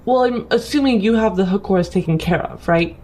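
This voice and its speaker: A woman's voice: medium pitch, brisk. A woman playing a tabletop role-playing game, chatting casually in and out of character. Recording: loud music behind the voice. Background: prominent background music.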